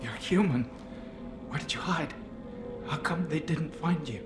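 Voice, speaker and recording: A man playing a nervous, delirious young alien pilgrim in a video game, muttering in halting, repetitive fragments. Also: fairly high pitch, music in the background, close to an everyday voice. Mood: bewildered, fearful. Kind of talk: video game cutscene acting.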